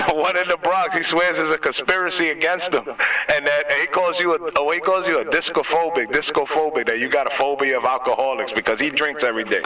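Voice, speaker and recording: A man's voice: on the high side, fairly even intonation, brisk, pushed and bright. A man chatting casually on a radio, using slangy, teasing talk. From New York. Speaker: CB radio operator. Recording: noisy, distant-sounding two-way radio transmission.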